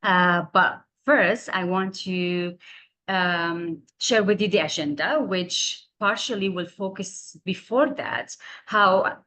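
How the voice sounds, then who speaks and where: Syriac-speaking woman in her 30s giving a talk on a video call, lowish voice, earnest, searches for words. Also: slow pace, bright, forceful tone. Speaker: guest speaker. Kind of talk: online presentation to students.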